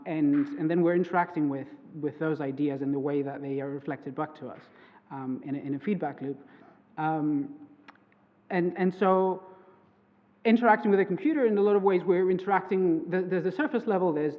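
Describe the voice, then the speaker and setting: A young man, a native Greek speaker, presents his research at a conference, hesitant and full of fillers and restarts. His voice sits fairly high for a man. The sound is thin and phone-like, with a long reverb trailing off the walls of a lecture hall. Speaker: conference speaker, PhD student. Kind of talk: academic conference talk.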